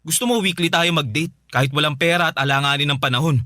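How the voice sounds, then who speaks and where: An actor playing a stern, curt, exasperated young ex-boyfriend in a radio drama. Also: male voice, on the high side, fast talker, sharp tone, no room sound, studio quality. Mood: doubtful, stern. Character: stern, fed-up, career-minded young ex-boyfriend.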